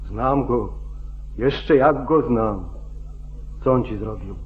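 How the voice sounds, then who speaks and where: A man's voice: medium pitch, slow, close to an everyday voice. A man playing a wary, weary older friend in an old radio drama, giving blunt warnings with stern urgency. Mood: impatient. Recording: dull, band-limited 1940s radio recording.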